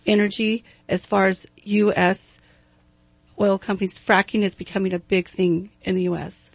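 A soft-spoken woman talks through market news as a guest on morning radio. Her plain, unhurried delivery is dry and close in the studio, with a few ums along the way. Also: medium pitch, level tone, creaky, breathy edge, no echo. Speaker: guest giving weather and market update. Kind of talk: radio talk chat. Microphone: studio microphone.

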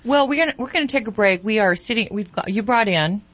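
A woman's voice: medium pitch, expressive, fast talker, a bit bright, dry recording. Bubbly female radio co-host. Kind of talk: radio talk show.

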